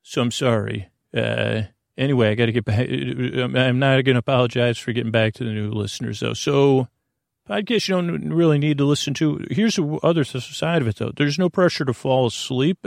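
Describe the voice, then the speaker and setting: A man with a gravelly voice hosts a sleep podcast, talking in a halting, meandering, whimsical way that keeps correcting itself. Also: mid-range voice, no room sound, clean studio sound. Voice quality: creaky, gravelly. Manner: meandering, halting, digressive, with trailing self-corrections; whimsical, mildly absurd, apologetic. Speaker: podcast host. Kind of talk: rambling sleep podcast monologue.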